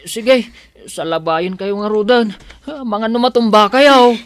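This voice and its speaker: A woman's voice: a little high, animated, takes it slowly. A Filipino woman playing a simple, devoted male household helper, hesitant and deferential, in an Ilocano audio drama. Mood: concerned.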